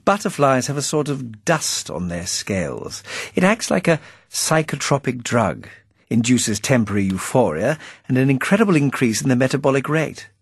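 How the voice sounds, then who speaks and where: A man's voice: mid-range voice, big pitch swings, normal speed, husky, no room sound, clean studio sound, voice pushed up. A man voicing a chipper, suave English spymaster in a storybook, with jaunty upper-class patter and drawled endearments. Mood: brisk, explanatory. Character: chipper, suave British spymaster, breezy and affable.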